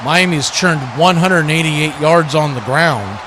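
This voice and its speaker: Higher-voiced man calling football, noisy background. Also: animated, measured pace. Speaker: play-by-play commentator hosting a watch party stream. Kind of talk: live football commentary, part play-by-play, part casual analysis.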